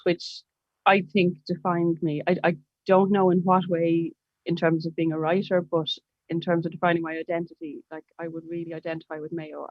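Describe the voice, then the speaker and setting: A woman's voice: on the low side, no echo, muffled recording. A woman in her forties with a Dublin accent, a writer chatting in an interview, self-deprecating with frequent restarts. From Ireland. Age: 40s. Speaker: guest writer. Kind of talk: casual conversational interview.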